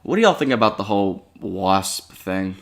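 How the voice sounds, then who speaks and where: A man hosts a relaxed chat among friends. He rambles, restarting sentences and leaning on fillers as he works his way to a point. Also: low-pitched, moderate pace. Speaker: host. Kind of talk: casual podcast chat.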